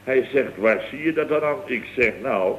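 An actor playing a blustering, easily flustered building-firm boss who rambles emphatically, in late 1960s radio comedy style. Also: male voice, mid-range voice, moderate pace, lightly acted. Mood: storytelling. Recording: dull, noisy vintage radio recording.

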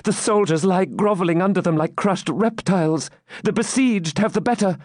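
A man's voice: high-pitched, moderate pace, darkish tone, no room sound, clean studio sound, pitched above the performer's own voice, close to an everyday voice. A man voicing a fervent, courageous young maiden with breathless, exclamatory, archaic storybook speech. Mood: appalled.

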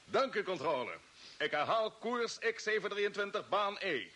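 An actor playing a brisk, commanding male space squadron leader in a radio play, giving clipped, businesslike orders. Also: high voice, very animated, sharp tone, heavily acted. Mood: brisk, focused. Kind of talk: radio drama acting. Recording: noisy old radio play, heavy hiss.

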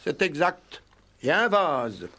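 Naive, high-voiced village police sergeant. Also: male voice, sing-song, a little slow, sharp tone, full character voice. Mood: officious. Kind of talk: 1960s French radio play acting.

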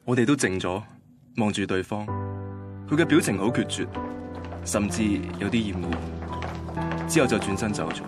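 A man's voice: lowish voice, moderate pace, pauses often, music in the background, close to an everyday voice. An actor playing a heartbroken young man recounting his first love in a radio drama, wistful and self-deprecating, with a Hong Kong Cantonese accent. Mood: wistful, sad. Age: young adult. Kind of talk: radio drama narration and dialogue.

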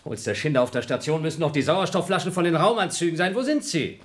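An actor playing an astronaut on a moon station who is agitated and impatient and keeps exclaiming. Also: male voice, on the high side, big pitch swings, fast. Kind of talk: 1970s radio play acting.

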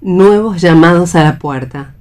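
A woman's voice: lowish voice, measured pace, a little mellow. A woman reading stage directions as the narrator of a radio play.

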